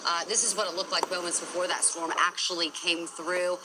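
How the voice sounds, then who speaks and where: A woman's voice: on the low side, little pitch movement, brisk, noisy background. A woman with an American accent anchoring live breaking news, talking through reports unscripted.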